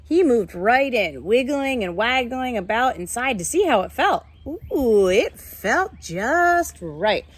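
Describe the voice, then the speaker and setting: High-voiced woman teacher reading aloud. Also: sing-song, slow, hardly any pauses, no echo. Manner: instructive, addresses young listeners with simple questions. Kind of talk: read-aloud storytelling with explanations for young children.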